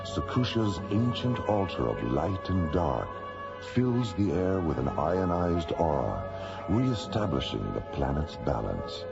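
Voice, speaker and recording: An actor playing the grave, dramatic narrator of an old-time radio space adventure. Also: male voice, sits low, very animated, a little slow, vocal fry, loud music behind the voice, full character voice. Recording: dull vintage radio recording.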